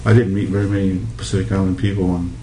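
Man, from Hawaii, lowish pitch, casual reminiscing, echoey room. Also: little pitch movement, fast. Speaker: interviewee. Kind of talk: casual oral history interview, reminiscing. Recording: echoey room with background noise.

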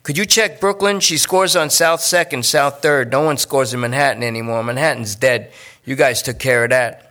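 A man voicing a jittery, talkative male junkie who rambles and pleads in fast bursts. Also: medium pitch, bright, forceful tone. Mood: pleading.

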